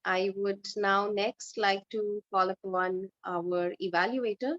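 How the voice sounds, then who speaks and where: Woman host, mid pitch, measured, filler-heavy, dull lo-fi call audio. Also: steady tone. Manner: measured, many filler uhs. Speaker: table topics master. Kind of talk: online club meeting hosting.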